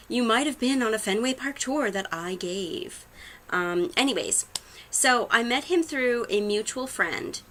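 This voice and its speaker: Chatty Boston-accented woman with a bright voice. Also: medium pitch, slight background noise. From Massachusetts, USA. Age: 30s. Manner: chatty, rambling with many ums. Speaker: vlogger introducing her channel. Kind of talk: casual talk to camera.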